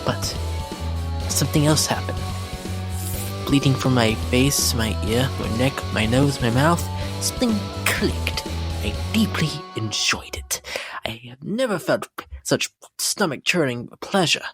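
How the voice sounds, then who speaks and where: A woman voicing a deranged, refined female pony in a horror dramatic reading, genteel and theatrical, with a breathy voice that often drops to a whisper. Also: very deep voice, animated. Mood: unhinged, dreamy. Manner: theatrical, genteel, drawn-out delivery. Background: background music.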